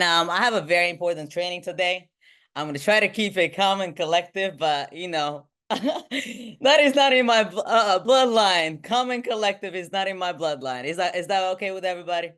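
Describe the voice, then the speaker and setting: A woman in her thirties from Bulgaria leads a motivational online training as a sales team trainer, talking excitably and often checking in with short questions. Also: lowish voice, a little fast. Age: 30s. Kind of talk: motivational online training talk to a live group.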